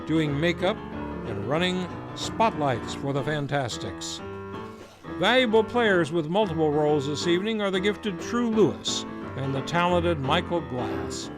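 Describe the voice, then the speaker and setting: A man's voice: on the high side, animated, measured pace, poor audio. A man hosting a live old-time radio show, introducing the cast in a formal announcer manner. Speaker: radio play host. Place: local community theater stage. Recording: live show with guitar music behind.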